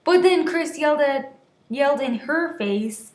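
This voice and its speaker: Female amateur story reader, high voice, unhurried, prone to stumbles and giggly asides, roomy echoing sound. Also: expressive. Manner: giggly, stumbling over words, shouting the quoted lines. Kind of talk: dramatic reading of a story, with stumbles and casual asides. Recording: roomy, echoey voice recording.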